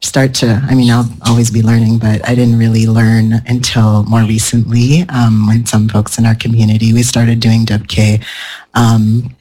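A man's voice: mid-range voice, flat delivery, normal speed, warm tone, low-quality recording. A man speaking as a panelist to a live audience, thoughtful and rambling, often restarting his sentences. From United States. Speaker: panelist, somatic therapist. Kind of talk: casual panel talk. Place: event room with a live audience.

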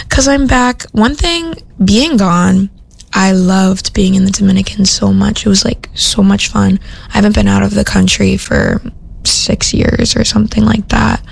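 A woman's voice: mid-range voice, moderate pace, vocal fry, breathy edge. A young woman from Minnesota, a radio host chatting casually between songs in an upbeat, rambling way full of "like" and "um," recorded in a studio. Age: young adult.

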